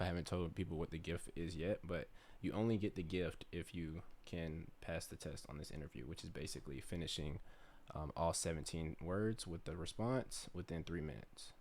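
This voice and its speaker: A man with a very deep voice hosts a podcast interview. His talk is loose and easygoing, full of "um", "like" and "right?". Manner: relaxed, filler-heavy, lots of "right?" and "um". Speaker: podcast host. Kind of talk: casual interview hosting.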